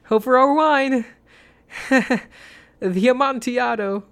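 A man doing a shrill, hysterically laughing nobleman. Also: drawn-out words, fairly bright tone, heavily acted. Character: terrified chained nobleman laughing wildly.

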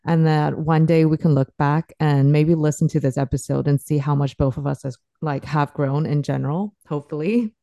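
A woman hosts a casual podcast in a low, mellow voice. Her phrasing is informal and conversational, and the sound is very dry, with little room around it. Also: fairly even intonation, moderate pace, gravelly, few pauses, no echo. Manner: informal, peppers talk with "like". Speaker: podcast host.

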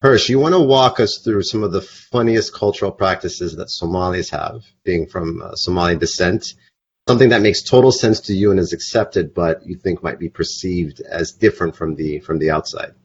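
A man's voice: sits low, normal speed, slightly rough, dull audio. A man hosting a casual podcast chat, upbeat and leading the talk with questions. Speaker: podcast host.

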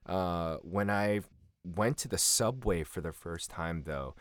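A young American man, a guest in a casual interview, tells stories with lots of laughter and acts out other people's lines in a higher voice. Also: takes it slowly, no room sound. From United States. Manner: animated, laughing storyteller who acts out quotes in a higher voice.

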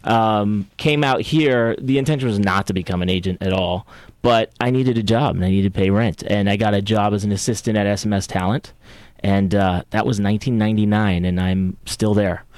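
Low-voiced, matter-of-fact man guest. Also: fairly even intonation, brisk, darkish tone, smooth, dry recording. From Puerto Rico. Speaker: talent agent, guest. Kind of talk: interview answers.

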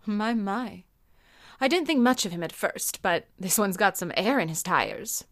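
A woman playing a sultry, sharp-tongued nightclub singer and informant in a noir comedy audio drama, flirtatious and sardonic. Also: medium pitch, expressive, measured pace, no echo, clean studio sound. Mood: teasing, flirtatious. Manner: flirtatious, sardonic, wordplay-heavy banter.

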